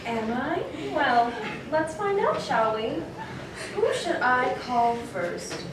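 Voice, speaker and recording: A woman's voice: sits high, big pitch swings, full character voice. A woman playing a sly, scheming villainess in a live superhero script reading, teasing and drawing out her threats. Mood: gloating. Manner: teasing, drawn-out, threatening sweetly. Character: taunting, scheming villainess with a secret to tell. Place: indoor convention panel room. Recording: echoey convention panel room, distant mic, noisy.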